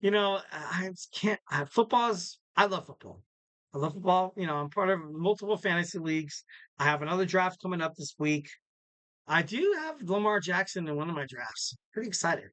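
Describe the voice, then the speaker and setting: Bright, high-voiced rambling podcast host. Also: male voice, animated, moderate pace, no echo. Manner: rambling and jokey, many fillers and restarts. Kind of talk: casual solo podcast monologue.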